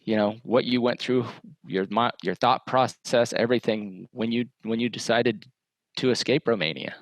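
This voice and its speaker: A male interviewer puts loose, wandering questions to his guest. His voice sits at a middle pitch, and the recording is dry. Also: fairly even intonation, moderate pace, dry recording, poor audio.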